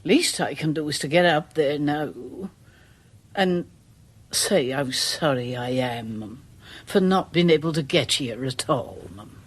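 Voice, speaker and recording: An actress playing a superstitious, deferential village charwoman in a radio drama, with a rural English accent and a halting, folksy way of talking. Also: low voice. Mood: apologetic, hesitant.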